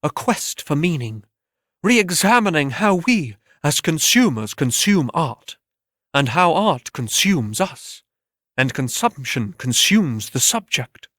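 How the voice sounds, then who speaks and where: A man's voice: fairly high pitch, sing-song, slow, fairly bright tone, no echo, studio quality, full character voice. A man voicing a pretentious, effusive art critic in a dramatic reading, pompous and grandiloquent. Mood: pompous, fervent.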